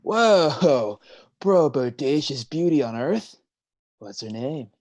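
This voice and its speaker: A man's voice: on the high side, sing-song, slow, darkish tone, full character voice. Man playing a breezy young surfer-dude sea god. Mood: playful. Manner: surfer slang, breezy teasing. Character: laid-back surfer-dude young sea god, teasing loyal brother. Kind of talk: live staged reading of a play.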